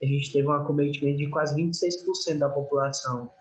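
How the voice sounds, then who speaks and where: A young man speaks in a fairly high voice with a Northeastern Brazilian accent. He gives an informal, educational talk, explaining health matters to a church group as an invited speaker. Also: steady tone, fast, poor audio. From Alagoas, northeastern Brazil. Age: young adult. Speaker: guest health speaker, medical student.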